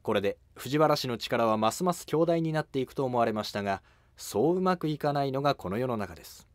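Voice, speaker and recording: A man's voice: mid-range voice, animated, quick pace, dry recording, studio quality. A man narrating history from a script as the narrator of a drama series.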